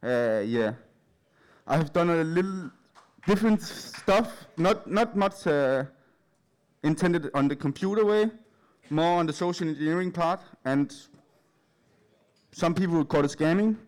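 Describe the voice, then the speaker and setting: Danish guy doing a casual stage talk, kinda high voice, nervous and hesitant, giggles a bit. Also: unhurried, long pauses, darkish tone. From Denmark. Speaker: speaker at a live event. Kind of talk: informal anecdotal stage talk. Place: in front of a large live audience.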